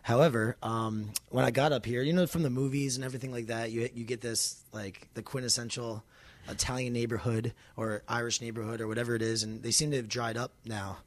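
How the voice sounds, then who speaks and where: A young man from Florida hosting a podcast, chatting and telling stories in a casual, animated way. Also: mid-range voice, fairly quick. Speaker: podcast host. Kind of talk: casual interview chat.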